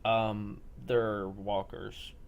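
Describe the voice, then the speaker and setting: A man's voice: fairly low pitch, level tone, drawn-out words, vocal fry. A man running a role-play game as game master, describing scenes off the cuff in a matter-of-fact way. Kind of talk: improvised tabletop-style game narration. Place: online group game session.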